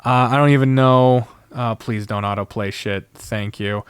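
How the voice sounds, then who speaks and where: A man's voice: medium pitch, steady tone, normal speed, clear, resonant tone, no room sound. A man hosting a podcast, talking in a casual, opinionated rant with an exasperated, sardonic manner and plenty of swearing. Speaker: podcast host.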